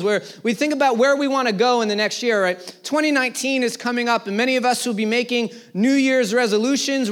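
High-voiced man in his thirties preaching. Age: 30s. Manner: preaching with swelling emphasis that sinks to quiet phrase endings. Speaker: pastor. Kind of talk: sermon. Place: church service before a live congregation.

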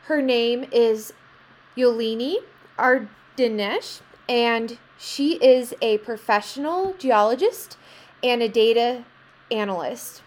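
A woman's voice: fairly high pitch, slow pace. A woman hosting a podcast, speaking in a casual, conversational interview style. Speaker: podcast host.

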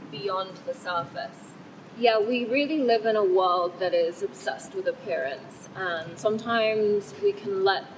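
A woman's voice: medium pitch, slight background noise, low-quality recording. A woman born in Thailand, whose first language is Thai, answering interview questions thoughtfully and earnestly, with frequent fillers and restarts. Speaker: author being interviewed.

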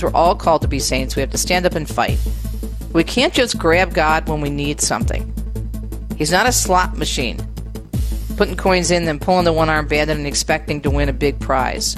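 Radio promo speaker, woman, earnest and urging, speaking over a clear music bed. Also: deep voice, a little fast. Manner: earnest, exhorting. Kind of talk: scripted spiritual message.

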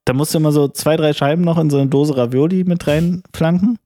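Man, podcast co-host, has a cold, mellow voice, rambling, coarse slang, dry close mic. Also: on the high side, fairly even intonation, brisk, dry recording. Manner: rambling, coarse colloquial, frequent restarts. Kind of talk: casual chat.

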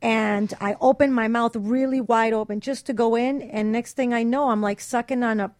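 A woman in candid, bawdy casual chat, telling personal anecdotes in an animated way. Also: on the high side, normal speed.

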